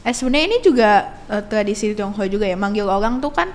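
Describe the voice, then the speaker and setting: A woman's voice: medium pitch, lively intonation, quick pace. A teenage girl talking casually as a guest on a school podcast, chatty, with many fillers and frequent laughter. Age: teens.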